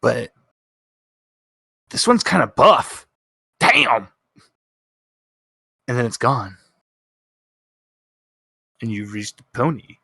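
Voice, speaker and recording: A man's voice: medium pitch, very animated, drawn-out words, vocal fry, long pauses, sharp tone, breathy edge, no room sound, clean studio sound. A man narrating as game master in a tabletop roleplaying game, improvising with emphatic repeats.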